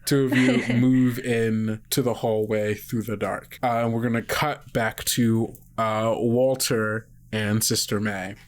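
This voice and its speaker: A game master narrating a tabletop game casually, with a breathy voice. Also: medium pitch, level tone, slow, hardly any pauses.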